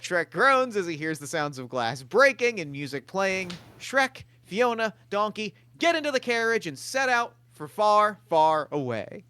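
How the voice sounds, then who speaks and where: A man's voice: sits high, sing-song, unhurried, hardly any pauses, bright, forceful tone, smooth, no echo. A man reading stage directions aloud at a table read in a plain, matter-of-fact way.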